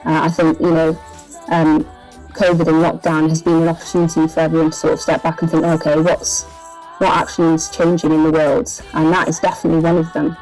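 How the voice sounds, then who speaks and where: Woman, Welsh accent, lowish voice, casual interview, hesitant delivery. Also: little pitch movement, brisk, darkish tone, soft background music, rough recording. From Wales. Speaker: singer-songwriter being interviewed.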